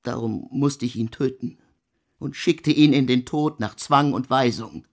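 Ein Mann spielt einen gequälten Komponisten, der in einem Hörspiel in altertümlichem Deutsch feierlich und stockend seine Sünden beichtet, mit heiserer Stimme. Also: male voice, mid-range voice. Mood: grieving. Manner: solemn, archaic, halting confession. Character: tormented composer confessing his sins in archaic German. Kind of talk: radio play monologue.

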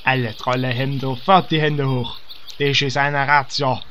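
A man's voice: mid-range voice, level tone, measured pace, bright, forceful tone, a little hiss or hum. A man playing a pedantic, overzealous law enforcer with stiff, formal phrasing in a parody fantasy audio drama. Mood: officious, indignant. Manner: stiff, formal police commands.

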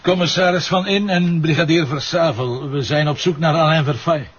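An actor playing an insistent, businesslike Flemish police inspector in a TV crime drama. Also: male voice, on the high side, normal speed, natural voice. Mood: firm, probing. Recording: dull TV soundtrack, noisy ambience.

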